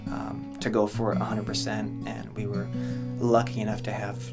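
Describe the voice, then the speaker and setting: A man, a country singer-songwriter, talking earnestly in a casual interview with lots of filler pauses. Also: lowish voice, monotone, vocal fry. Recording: music playing underneath.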